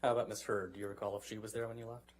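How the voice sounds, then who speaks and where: Fast-talking male lawyer questioning a witness. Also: fairly low pitch, little pitch movement. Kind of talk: courtroom questioning. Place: courtroom. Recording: noisy courtroom broadcast feed.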